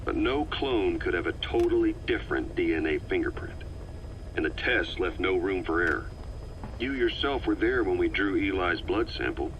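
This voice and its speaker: A male actor voicing a calm, calculating veteran intelligence officer in a video game, speaking in a measured, reflective way on a taped conversation. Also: deep voice, poor audio. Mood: certain. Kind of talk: video game dialogue on audio tape. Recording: cassette recording with background noise.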